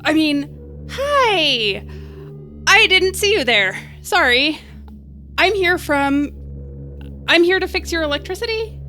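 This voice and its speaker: A woman playing an awkward, bluffing young repair worker in a comedy audio drama, halting and wryly correcting herself. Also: extremely high pitch, sing-song, bright, forceful tone, soft background music, full character voice. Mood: wry, uneasy. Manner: halting, self-correcting, wry.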